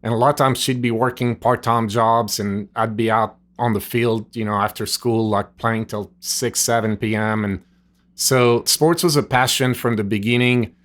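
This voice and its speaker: A middle-aged man from Switzerland, a native French speaker with a Swiss French accent, earnestly telling his life story as a podcast guest, rambling with "like" and "you know". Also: mid-range voice. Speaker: guest, nutrition store owner. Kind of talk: storytelling interview answers. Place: gym.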